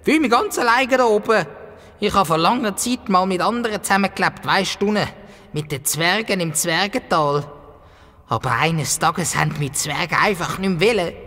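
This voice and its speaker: A woman playing a grumpy, possessive, lonely dwarf in a children's audio play, with a Swiss German accent and excitable, blustering, childlike speech. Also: fairly low pitch, normal speed. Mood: tearful. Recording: long cavernous reverb.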